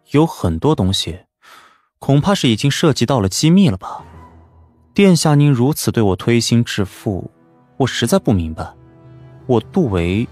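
An animated, courteous young nobleman. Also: medium pitch. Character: polite young nobleman, deferential yet probing and wary. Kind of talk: audiobook drama acting.

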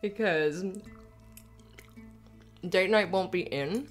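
A woman playing an affectionate, playful girlfriend in an intimate ASMR roleplay, soft-spoken and hesitant. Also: lowish voice, extremely slow, soft background music.

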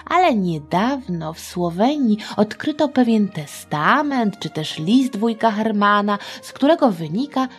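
A woman hosting a children's history podcast, telling the story in a playful, chatty, expressive manner. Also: medium pitch, measured pace, hardly any pauses, soft background music.

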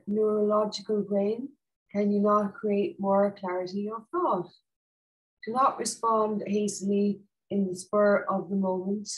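A woman reading her own poem on a video call in a plain, halting way. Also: mid-range voice, low-quality recording. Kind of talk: poetry reading.

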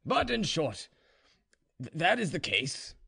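A man doing a high, slow-drawling drunken boastful serf. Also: big pitch swings, vocal fry, pushed and bright, breathy edge, heavily acted. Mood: boastful, careless. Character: drunk, boastful Russian household serf, swaggering and defiant.

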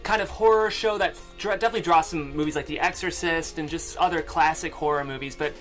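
A man's voice: on the high side, quick pace, a bit bright, soft background music. A young man hosting and reading a script, speaking casually into a close studio microphone. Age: young adult. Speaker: show host and stage-direction reader. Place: small podcast studio. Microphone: close studio microphone with foam windscreen.

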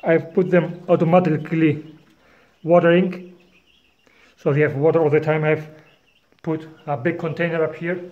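A man in his thirties gives an unhurried walk-and-talk tour of his farm, filming himself on a handheld phone. He talks in plain, non-native phrasing and chuckles often. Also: fairly high pitch, flat delivery, phone-quality sound. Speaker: farmer vlogger. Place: outdoors on a hillside farm and inside a bird shed. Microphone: phone camera at arm's length.

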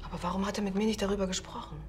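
An actress playing a suspicious, grasping widow in a television crime drama. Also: female voice, medium pitch, fairly even intonation, rapid-fire, faint music behind, lightly acted.

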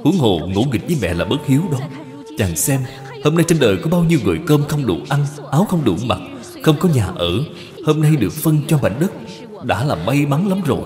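A man voicing a gentle, dutiful young wife in a cartoon moral tale, speaking formally and persuasively. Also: medium pitch, sing-song, fairly quick, lightly acted. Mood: earnest pleading. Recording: long reverb, music behind.

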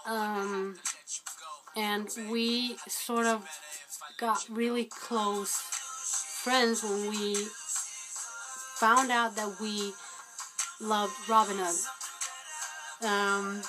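A woman's voice: medium pitch, flat delivery, extremely slow, pauses often, music in the background, low-quality recording. Rambling self-deprecating young woman vlogging. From Albania. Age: 20s. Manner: rambling, self-deprecating, many fillers and restarts. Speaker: guest vlogger. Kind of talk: unscripted rambling vlog. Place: small dim room indoors. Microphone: webcam at close range.

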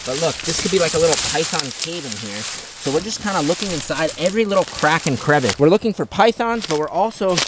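A young man filming an excited outdoor wildlife vlog by old railway tracks, talking straight to viewers. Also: on the high side, fast, heavy background noise. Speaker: wildlife vlogger.

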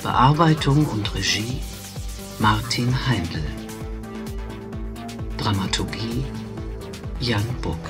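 Brief: male radio announcer, extremely unhurried formal delivery, medium pitch, music behind. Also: lively intonation. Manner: formal, neutral. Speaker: credits announcer.

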